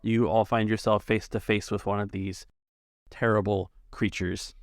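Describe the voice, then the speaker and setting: A man narrating a tabletop roleplaying game as game master, thinking aloud in a halting way. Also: on the low side, little pitch movement, dry recording, studio quality.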